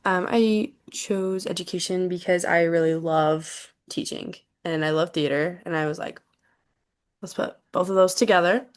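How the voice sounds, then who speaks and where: A woman's voice: lowish voice, fairly even intonation, moderate pace, smooth. A woman in her twenties from Tennessee, a college student casually leading introductions on a video call, friendly and a bit hesitant with lots of ums.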